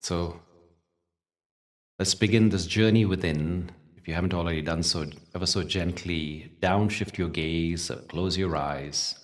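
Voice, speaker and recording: A man leading a guided meditation as a teacher, speaking gently in short phrases with long pauses. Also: deep voice, recorded from a distance. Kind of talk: guided meditation with spiritual talk.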